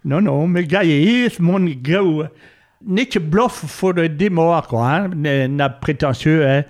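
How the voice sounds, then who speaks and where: A man's voice: on the high side, slow pace. A man chatting casually in conversation.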